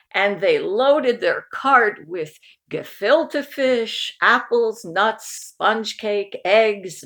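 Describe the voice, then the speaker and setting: A woman reading a children's picture book aloud in an expressive storytelling manner, with informal asides. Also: mid-range voice. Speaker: storybook reader.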